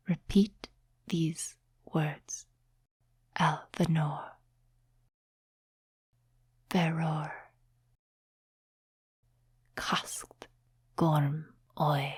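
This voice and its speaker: A woman performs a seductive female demon in an ASMR roleplay, whispering very slowly with heavy breath in the voice. She is sly and condescending, coaxing a mortal with deliberate, teasing words. The voice sits a little low and sounds close and dry. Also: a little mellow, husky, dry recording, full character voice. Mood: hushed, instructing.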